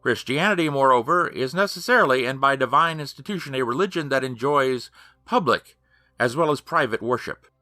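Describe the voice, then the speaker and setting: A man's voice: medium pitch, animated, brisk, pushed and bright, no room sound, clean studio sound. A man narrating an audiobook in a formal, emphatic, declamatory manner.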